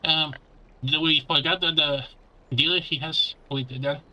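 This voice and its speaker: Bright, sharp-voiced male streamer. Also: fairly high pitch, rough recording. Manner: excitable, halting, repeats words. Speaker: streamer reacting to a game. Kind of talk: casual live reaction commentary.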